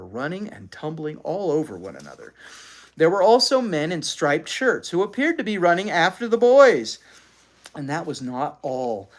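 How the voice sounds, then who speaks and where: Animated kids book narrator, voice swoops up and down a lot, reading a story aloud. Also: mid-range voice. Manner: animated, acts out characters' lines. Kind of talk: expressive children's book read-aloud.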